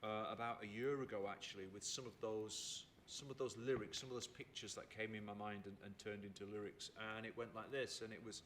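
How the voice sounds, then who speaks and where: Bright-voiced man telling stories. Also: fairly low pitch, fairly even intonation, a little fast, few pauses, a little hiss or hum. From England. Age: 50s. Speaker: storyteller and writer. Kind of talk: reflective storytelling chat. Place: theatre.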